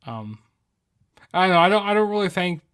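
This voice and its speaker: A man's voice: high-pitched, very animated, a little fast, a bit bright, smooth. A man giving a casual, rambling spoken review of a wrestling show, full of ums, you-knows and restarts.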